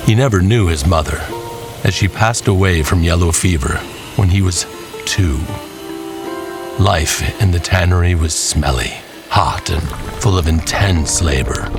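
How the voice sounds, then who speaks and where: A man narrating a scripted dramatic tale in a folksy, deliberate storyteller's manner, with music behind him. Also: deep voice.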